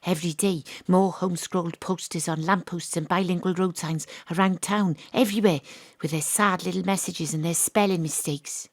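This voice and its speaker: Low-voiced, brooding woman detective. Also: steady tone, moderate pace, dry recording, studio quality. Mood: brooding, wistful. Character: brooding, tender-hearted female gumshoe detective narrator. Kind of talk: scripted first-person audio drama narration. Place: dry home studio.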